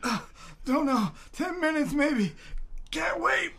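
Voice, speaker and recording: A dying man gasping out broken bits, really high and strained, super slow. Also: sing-song, fairly bright tone, exaggerated voice. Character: dying middle-aged man, gasping and desperate. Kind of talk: live radio drama acting.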